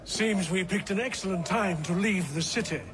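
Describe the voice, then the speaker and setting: An actor playing an affable, well-to-do gentleman traveller in a video game, sociable and genteel. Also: high-pitched, moderate pace, a crowd in the background, close to an everyday voice. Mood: friendly, gossipy. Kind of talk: cinematic video game drama acting.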